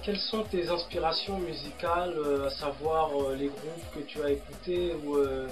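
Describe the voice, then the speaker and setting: Mid-pitched studio interviewer, halting delivery, clear music in the background. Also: steady tone. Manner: halting questions, many fillers.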